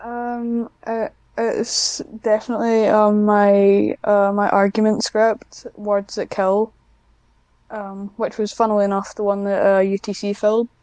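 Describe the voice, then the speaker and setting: A woman's voice: medium pitch, monotone, measured pace, darkish tone, clean tone, no room sound. A woman chatting casually as an interview guest, in a hesitant, self-deprecating way. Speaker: guest, hobbyist audio script writer.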